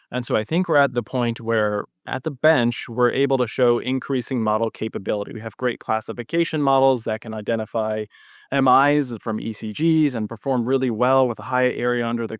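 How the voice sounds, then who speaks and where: A man's voice: medium pitch, clean tone, no echo, studio quality. A man speaking as an expert guest in an interview, explaining things conversationally with technical jargon. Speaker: guest physician researcher.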